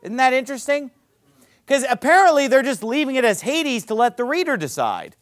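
A man preaching a sermon as pastor in a church, emphatic, with loud peaks, low drops and sarcastic asides. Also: sing-song, brisk.

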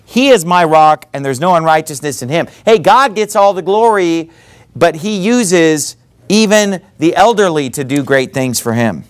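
A man in his thirties preaching an energetic, conversational sermon and reading scripture aloud. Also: on the high side, normal speed. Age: 30s.

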